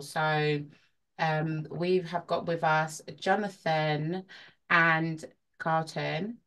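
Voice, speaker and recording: A woman co-hosting a casual talk show, chatty and relaxed, with frequent fillers. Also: low-pitched. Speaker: co-host. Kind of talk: casual chat.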